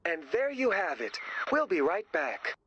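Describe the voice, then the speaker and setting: A cocky rock drummer from a video game, fronting his own show. His pitch swings widely as he promotes himself with grandiose, dramatic showmanship. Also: huge pitch swings, measured pace, highs cut off, background noise, exaggerated voice. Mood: showy, upbeat. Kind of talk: video game voice acting as a showy TV presenter.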